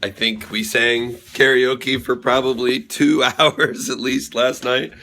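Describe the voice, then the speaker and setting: Hoarse man chatting in a daily vlog. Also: mid-range voice, steady tone, sharp tone. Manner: rambling, chatty, quick to laugh. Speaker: vlogger. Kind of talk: casual daily vlog chat.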